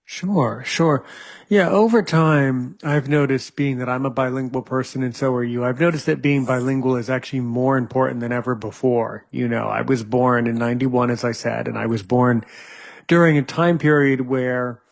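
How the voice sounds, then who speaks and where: A man's voice: mid-range voice, moderate pace, gravelly. A man in his thirties hosting a casual interview, hesitant and often repeating words as he thinks. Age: 30s. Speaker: host.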